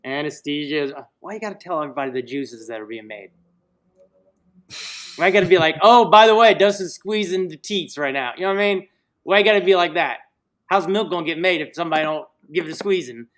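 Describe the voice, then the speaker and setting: A man with a fairly high voice hosts a loose livestream chat. He rambles from topic to topic and cracks jokes. Now and then he drops into goofy put-on voices. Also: animated, quick pace, a bit bright. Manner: jokey, rambling, puts on silly voices. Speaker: livestream host. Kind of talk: casual livestream banter.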